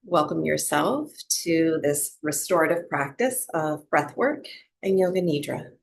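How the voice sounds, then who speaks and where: Female meditation guide: very unhurried, gravelly edge, soft soothing instruction for breathwork and rest. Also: lowish voice. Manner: soothing, gentle, unhurried instruction with long pauses. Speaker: yoga and breathwork teacher.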